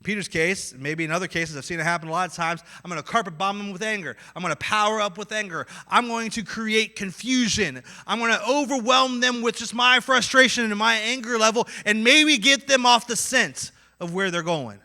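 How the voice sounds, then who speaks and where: A man with a Midwestern accent preaches a sermon in a high, very bright voice. He acts out the voices in his story and swings between loud, pressing lines and quiet ones. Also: fairly quick, few pauses. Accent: Midwestern American. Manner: animated, acts out inner voices with swings in loudness. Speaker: pastor.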